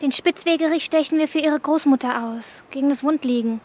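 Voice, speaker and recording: A woman's voice: high voice, fairly quick, darkish tone, close to an everyday voice. A woman playing a cautious, principled thirteen-year-old girl in a radio play, speaking in terse, admonishing phrases. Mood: polite. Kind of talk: German radio play acting. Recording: roomy echo, music behind.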